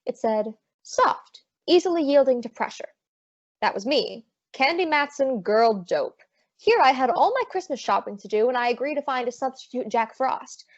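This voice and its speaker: A woman's voice: sits high, expressive, moderate pace. A woman playing a breezy, wisecracking private eye, narrating and acting in an old-time radio detective show. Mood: wry narration. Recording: dull lo-fi audio.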